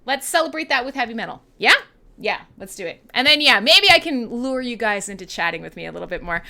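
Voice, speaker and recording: Bright-voiced, irreverent Canadian woman radio host. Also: a little high, expressive, quick pace, gravelly, slight background noise. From western Canada. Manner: irreverent, profane, playful. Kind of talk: casual live chat between music tracks.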